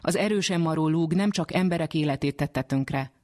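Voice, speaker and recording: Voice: medium pitch, a little mellow. A science radio reporter who interviews and narrates in a reportage style.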